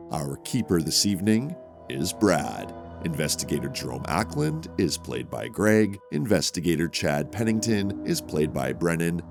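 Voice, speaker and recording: A man narrating a horror tabletop show in a dramatic, deliberate manner. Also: extremely low pitch, vocal fry. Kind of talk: scripted horror narration and game talk.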